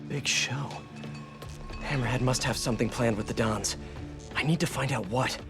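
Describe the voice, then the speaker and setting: A man's voice: medium pitch, moderate pace, lightly acted. A man voicing an earnest, wisecracking young American masked hero in a video game, muttering quips to himself in a naturalistic way. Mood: determined. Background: music.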